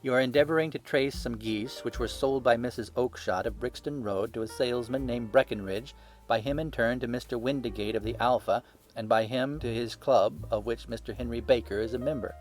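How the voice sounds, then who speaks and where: A man voicing a shrewd gentleman detective in a storybook, bland, courteous and precise. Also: medium pitch, brisk, soft background music, lightly acted. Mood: bland, assured. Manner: bland, precise, self-assured.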